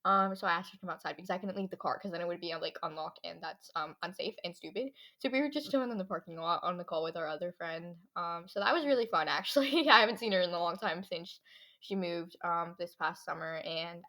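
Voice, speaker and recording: A teenage girl hosting her own podcast, chatting in a rambling way with lots of fillers while her voice is going hoarse. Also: fairly low pitch, quick pace, sharp tone. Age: teens. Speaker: podcast host. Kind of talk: casual solo podcast chat.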